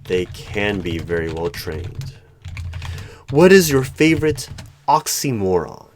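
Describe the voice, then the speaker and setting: Deep-voiced male interviewer, halting and probing. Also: big pitch swings. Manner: deliberate, halting, questioning, with pauses. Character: eerie, probing male hiring manager of a secretive bureau. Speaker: hiring manager interviewer in a solo roleplay. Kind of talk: one-sided job interview roleplay, ASMR. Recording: background noise with keyboard typing and clicks. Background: computer keyboard typing.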